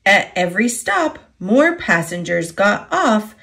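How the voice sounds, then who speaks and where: An American woman narrates picture books for children. She takes her time with each phrase and moves her pitch up and down freely, in an expressive, deliberate way. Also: vocal fry. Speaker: children's storybook reader. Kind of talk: scripted read-aloud narration.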